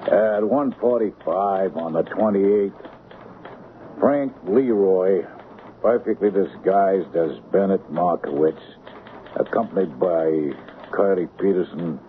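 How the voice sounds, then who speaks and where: A man acting as the measured narrator of a scripted 1970s radio crime mystery. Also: deep voice. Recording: muffled, hissy 1970s radio broadcast.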